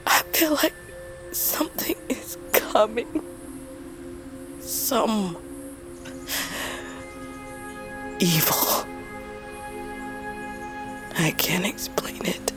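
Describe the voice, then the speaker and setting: An actress playing a grieving, fierce but lonely young princess of a wolf tribe in a fantasy audio drama, speaking in a solemn, intimate, halting way. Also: female voice, mid-range voice, very animated. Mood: anguished, weary. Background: music.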